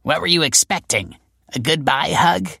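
A man's voice: fairly high pitch, animated, moderate pace, creaky, pushed and bright, breathy edge, no echo, pitched above the performer's own voice, exaggerated voice. A male narrator voicing a wry, world-weary female detective in an audiobook, with a dry, sardonic manner. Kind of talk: audiobook character voice.

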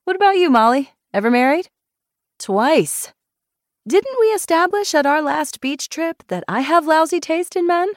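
A woman's voice: a little high, sing-song, a little fast, no echo, clean studio sound, voice pushed up. A woman voicing an outrageous, blunt, bubbly woman doctor in a novel, playful and emphatic. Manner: playful, emphatic, mock-dramatic.

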